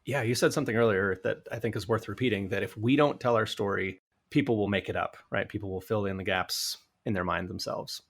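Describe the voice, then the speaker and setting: A man's voice: on the low side, fairly even intonation, brisk, fairly bright tone, breathy edge. A man hosting a podcast interview who speaks in a thoughtful, conversational way and asks his guest questions. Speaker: podcast host.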